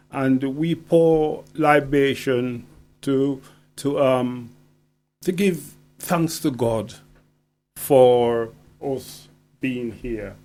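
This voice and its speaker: A man talking as a poet guest on radio, deliberate and emphatic, often checking he is understood. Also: mid-range voice, darkish tone. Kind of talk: conversational radio interview.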